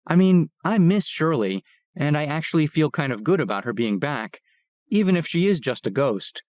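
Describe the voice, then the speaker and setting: A man's voice: fairly high pitch, very animated, normal speed, a little mellow, no echo, clean studio sound, pitched above the performer's own voice. A man voicing a troubled teenage girl bike messenger who talks in an earnest, pleading way.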